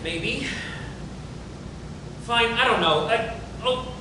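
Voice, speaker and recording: Man acting a cocky, very high-voiced dealmaker, echoey room. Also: extremely slow, full character voice. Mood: defensive, grudging. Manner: casual, sardonic, defensive. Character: cocky, self-justifying, profane company dealmaker. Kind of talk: dramatic table reading of a stage play. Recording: very echoey room, noisy rumble.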